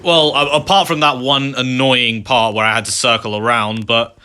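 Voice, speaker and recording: A man's voice: medium pitch, level tone, moderate pace, bright, forceful tone, smooth. A man giving casual, offhand commentary during a video game playthrough. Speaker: gameplay video creator.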